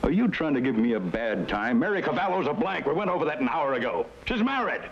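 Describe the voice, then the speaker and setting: A bossy, gruff American police lieutenant, mid-pitched with lively swings. He snaps out orders irritably on a muffled, noisy old crime-show soundtrack. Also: fast. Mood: irritated. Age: middle-aged. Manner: gruff, commanding. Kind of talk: 1950s TV crime drama acting.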